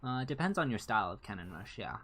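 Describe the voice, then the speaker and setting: A co-caster giving live game commentary in a laid-back, hesitant way with many pauses. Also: mid-range voice, sing-song, a bit bright. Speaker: esports co-caster.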